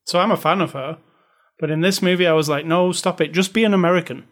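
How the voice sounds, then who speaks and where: A British man co-hosting a casual film review chat, opinionated and wry, with animated complaints. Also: high voice, fast talker. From Britain. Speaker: podcast co-host.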